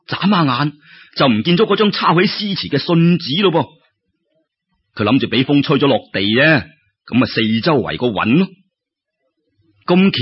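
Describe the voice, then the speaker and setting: A man with a Cantonese accent telling a story in an expressive, colloquial way, with exclamations and rhetorical questions. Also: medium pitch, slightly rough. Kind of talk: animated traditional Cantonese storytelling narration. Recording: dull lo-fi audio.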